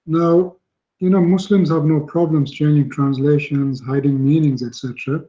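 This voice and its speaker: Male caller, hesitant, somewhat high, mellow tone, lo-fi call sound. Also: steady tone, moderate pace. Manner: hesitant, many fillers. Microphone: call over the internet.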